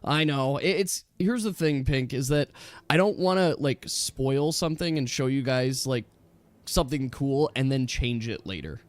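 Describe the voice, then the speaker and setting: A man's voice: medium pitch, normal speed, dry recording. A man chatting casually as a game master, with an enthusiastic, rambling way of explaining things to his players.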